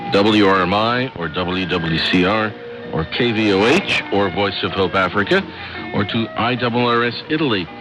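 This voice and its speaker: American male radio presenter, lower register, reads mail and announcements clearly over music on a shortwave broadcast. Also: low-quality recording. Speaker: radio programme host. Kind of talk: shortwave radio mailbag show, reading letters and announcements.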